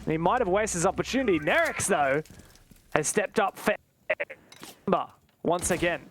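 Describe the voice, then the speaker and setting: A man commentating an esports match live, play by play, in an excitable manner. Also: high-pitched, moderate pace, a little hiss or hum. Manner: excitable, exclaiming at big plays.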